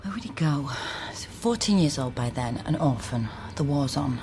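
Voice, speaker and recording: An actress playing a shrewd, guarded genealogist with a wistful inner side in a naturalistic radio drama. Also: female voice, low voice, lively intonation, normal speed, dark tone, slight background noise. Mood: brisk, thoughtful.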